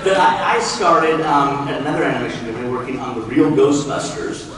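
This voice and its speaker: A middle-aged man hosts a stage interview, heard from far off in a hugely reverberant hall. His voice is a little high and lively, with loud crowd noise around it. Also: fairly quick. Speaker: panel host. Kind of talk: onstage interview. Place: large stage in convention hall, audience behind. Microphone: handheld mic, captured by distant audience camera.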